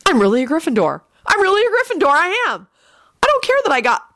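A woman's voice: extremely high pitch, wildly swooping pitch, fast, a bit bright, voice pushed up, heavily acted. A woman reading a story as an earnest, nervous young schoolboy who blurts out his words hesitantly. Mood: excited. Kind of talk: audiobook character voice.

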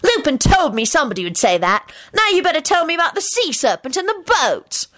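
Woman reader as little schoolboy, high voice, haughty, boastful, crisply enunciated, animated, storybook character. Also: fairly quick, dry recording. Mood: triumphant. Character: cocky, proud little first-year schoolboy.

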